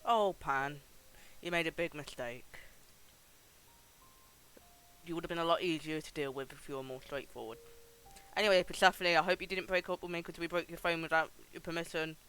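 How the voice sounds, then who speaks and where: Casting: male streamer, fairly high bright voice, casual play-along commentary, dryly jokey asides. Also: brisk, pauses often, clear, resonant tone, faint music behind, poor audio. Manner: wry, joking asides.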